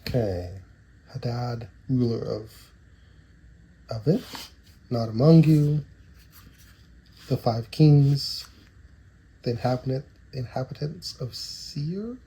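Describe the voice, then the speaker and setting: A man's voice: medium pitch, takes it slowly, pauses often, warm tone. A man in his thirties with a stuffy, nasal voice from a cold, casually reading card names aloud and chatting while opening card packs. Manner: rambling, reads card names aloud between asides. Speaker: trading card collector opening booster boxes. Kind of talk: casual box-opening commentary. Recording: noisy with card shuffling and clicks.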